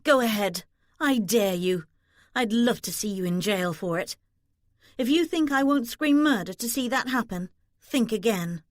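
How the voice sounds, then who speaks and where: A woman's voice: on the high side, expressive, moderate pace, no room sound, studio quality, pitched above the performer's own voice, lightly acted. Defiant young noblewoman, voiced by a woman narrator. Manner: sharp, challenging, indignant. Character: defiant, scornful young noblewoman confronting her brother. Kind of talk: audiobook character dialogue.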